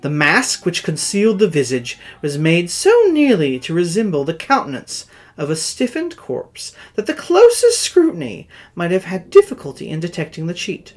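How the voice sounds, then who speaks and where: A man narrating Gothic horror in a theatrical, dramatic way, with measured phrases that swell and drop in volume. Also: high-pitched, huge pitch swings. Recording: music under the voice.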